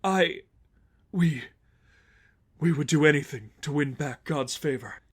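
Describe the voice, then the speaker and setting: A man's voice: on the high side, a little slow, breathy edge. A man voicing a fearful, awestruck male cult follower in a dramatic story reading, stammering and reverent. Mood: contrite. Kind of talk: dramatic audiobook-style fan fiction reading.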